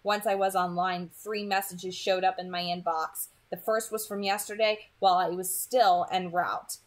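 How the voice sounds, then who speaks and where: A woman's voice: lowish voice, fairly even intonation, moderate pace. A woman reading a first-person story aloud as its narrator.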